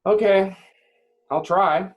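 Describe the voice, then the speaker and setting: Man playing an anguished young superhero, pitched high. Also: steady tone, slow pace, muffled recording. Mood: hesitant. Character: young male superhero, emotional, anguished and unsure of himself.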